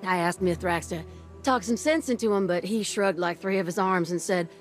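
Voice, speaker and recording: A woman playing a plucky, warm-hearted pilot in a video game, talking in a casual, folksy, chatty way. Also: on the low side, normal speed, faint music behind, natural voice. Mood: grateful, chatty.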